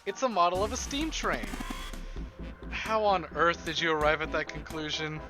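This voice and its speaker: A man streaming a game from home, his voice sitting a little higher than most men's. He talks casually, muttering through each puzzle step and throwing in jokes. Game music plays clearly beneath him. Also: animated, moderate pace. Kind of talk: live game playthrough, reading and commenting.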